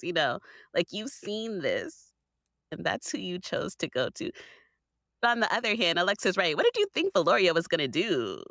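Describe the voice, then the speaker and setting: A podcast guest talks through opinions on a story in a casual, conversational way. The voice is androgynous in pitch and very animated. The sound is dry, with hardly any room in it. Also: no echo. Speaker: guest on a podcast listen-along. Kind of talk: casual discussion, giving opinions.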